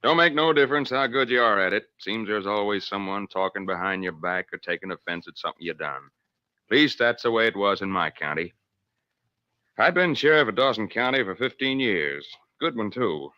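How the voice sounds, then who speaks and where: An actor playing a stern, friendless veteran western sheriff in an old radio drama, with folksy, ungrammatical speech. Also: male voice, on the low side, lively intonation, fairly quick, bright, forceful tone, no room sound. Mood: stern, aggrieved. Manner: folksy, plainspoken, ungrammatical drawl. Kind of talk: 1940s radio drama acting, first-person narration. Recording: dull, lo-fi old radio recording.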